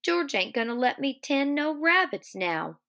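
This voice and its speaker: A woman reading a novel aloud as a childlike, simple-minded, fearful male farmhand with halting rural speech. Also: fairly high pitch, lively intonation, a bit bright, voice pushed up. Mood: miserable.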